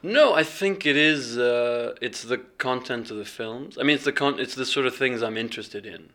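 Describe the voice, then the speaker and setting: Man, interview guest, mid-range voice, thoughtful, halting, restarts often. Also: medium pitch, sharp tone. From England, raised partly in Bahrain. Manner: hesitant, reflective, many restarts and ums. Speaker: filmmaker and fellowship alumnus. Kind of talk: relaxed interview conversation.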